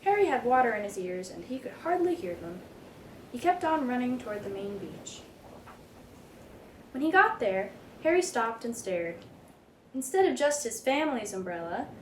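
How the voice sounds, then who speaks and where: A woman reading a children's picture book aloud in an expressive, dramatic storytelling manner. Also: a little high, moderate pace. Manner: animated, dramatic read-aloud delivery. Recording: roomy echo, background noise.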